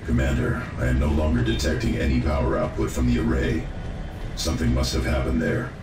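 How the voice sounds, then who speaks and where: Very deep, gravelly tech marine. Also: male voice, background noise. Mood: grave, formal. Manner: measured, formal. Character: grave male tech-priest marine advisor to the commander. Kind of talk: video game cutscene acting. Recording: echoing voice over loud game music.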